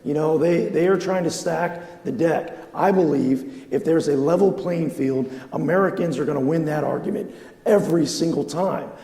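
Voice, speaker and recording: A man's voice: fairly high pitch, normal speed, gravelly, dark tone. A man, a congressman, answering firmly on a panel with repeated emphasis. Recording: echoey hall with long reverb.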